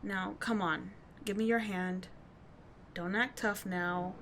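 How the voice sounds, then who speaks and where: A woman's voice: medium pitch, steady tone, takes it slowly, a bit bright, slight background noise. A woman playing a tough but caring teenage delinquent schoolgirl in an ASMR roleplay, speaking in a slangy, blunt yet soothing way. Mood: casual, bossy, caring. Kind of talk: ASMR roleplay audio drama.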